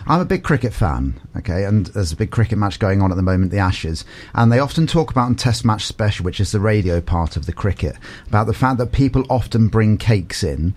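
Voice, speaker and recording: A man's voice: fairly low pitch, expressive, fairly quick, few pauses, darkish tone. A man from England hosting a local radio show, chatty and upbeat, rambling a little as he introduces his guest. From Portsmouth, England. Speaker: radio host.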